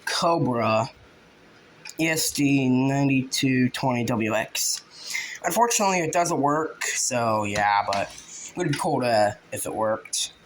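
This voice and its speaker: A man giving a casual, halting show-and-tell, informal and often trailing off. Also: mid-range voice. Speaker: hobbyist video creator. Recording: noisy home video with clicks.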